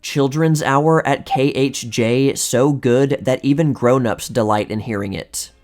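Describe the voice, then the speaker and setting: A man reading vintage magazine articles aloud as a scripted narration, in a measured, precise manner. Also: mid-range voice, a little mellow, faint music behind.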